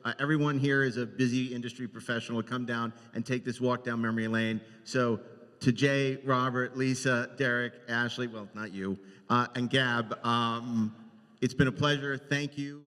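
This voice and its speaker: A man's voice: mid-range voice, fairly even intonation, normal speed, fairly bright tone. A man moderating an informal live panel in a reverberant hall. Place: large indoor panel room.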